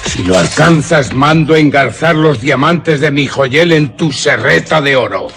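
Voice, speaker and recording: A man's voice: fairly high pitch, animated, unhurried, gravelly, fairly bright tone, faint music behind, heavily acted. An actor playing a proud, reckless young nobleman hunter in a suspense radio drama, declaiming with passion in Castilian Spanish. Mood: defiant, impassioned. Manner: imperious, impassioned, declamatory.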